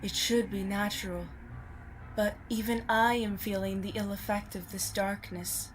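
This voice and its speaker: A woman's voice: medium pitch, little pitch movement, unhurried, slight background noise, natural voice, poor audio. A woman voicing a troubled, solemn princess in an amateur fantasy machinima, with formal, grave delivery.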